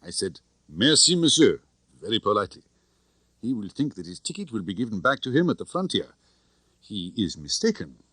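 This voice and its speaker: A man voicing a big, theatrical spy chief, very lively. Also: on the low side, moderate pace, pauses often, husky, dry recording, exaggerated voice. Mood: gleeful, sly. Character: big, tough, cheerful, confident foreign spy chief, male.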